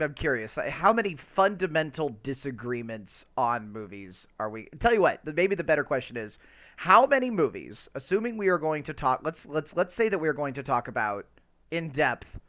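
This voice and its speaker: Bright-voiced man in animated banter. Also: on the high side, moderate pace. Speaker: podcast co-host and streamer. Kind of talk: casual bantering chat.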